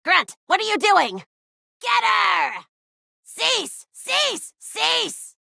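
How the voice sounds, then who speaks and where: A woman playing a commanding sci-fi game villainess, frantically barking orders in a shrill, creaky voice. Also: big pitch swings, extremely slow, bright, forceful tone, dry recording, studio quality, heavily acted. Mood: frantic commanding.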